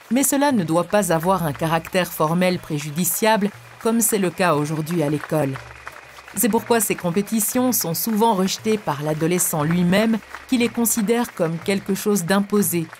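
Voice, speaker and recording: A woman voicing a calm, wise spiritual teacher in a cartoon, explaining in a measured, didactic way. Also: lowish voice, close to an everyday voice. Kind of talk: scripted cartoon dialogue, didactic lecture. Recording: faint music under the voice.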